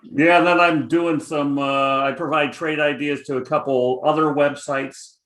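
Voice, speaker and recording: A man's voice: fairly high pitch, flat delivery, unhurried, fairly bright tone, roomy sound. A middle-aged man with a Chicago accent, a guest options trader talking casually, rambling with many fillers. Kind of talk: casual interview chat.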